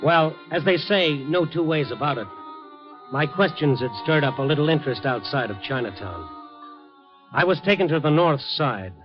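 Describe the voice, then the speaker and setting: A man's voice: on the high side, lively intonation, moderate pace, natural voice. An American man playing a tough, wry, stubborn newspaper reporter in a 1950s radio crime drama, hard-boiled and sardonic. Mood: grim, weary. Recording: muffled vintage radio recording, music behind.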